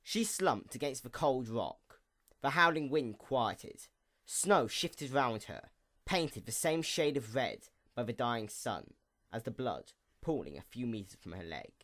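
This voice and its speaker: A man narrates a scripted fantasy tale in a mid-pitched voice. His intonation rises and falls dramatically from phrase to phrase. He is deliberate, leaving weighty pauses. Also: big pitch swings, fairly bright tone. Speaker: story narrator.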